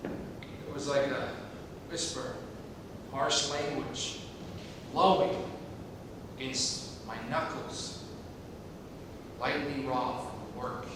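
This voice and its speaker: A man's voice: medium pitch, fairly even intonation, very slow, long pauses. A man playing a frazzled, irritable, lonely commuter in a live stage comedy. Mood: lonely, subdued. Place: theatre stage, recorded from far off. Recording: distant, echoey hall, clearly noisy.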